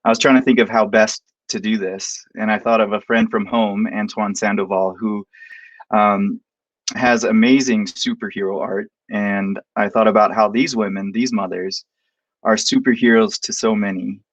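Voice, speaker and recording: A man from Montana who is a professor, giving a heartfelt, measured introduction that he reads from a prepared script over a video call. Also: on the low side, steady tone, no room sound, rough recording. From Montana, United States. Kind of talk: prepared introduction read aloud.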